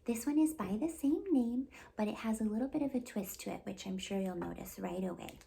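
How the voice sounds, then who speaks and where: A woman hosting storytime, reading a children's picture book aloud in an expressive, deliberate storytelling delivery. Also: medium pitch, creaky, a little hiss or hum. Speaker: storytime host and reader.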